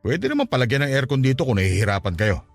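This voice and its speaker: A man's voice: mid-range voice, wildly swooping pitch, fast talker, vocal fry, soft background music. A man acting a suspicious, controlling well-off Filipino boyfriend in a radio drama, brusque and domineering. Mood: bossy, assured.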